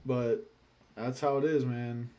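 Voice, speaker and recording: A man's voice: medium pitch, steady tone, slow, dull audio, a little hiss or hum. A man hosting a reaction video, giving blunt, joking commentary in casual slang.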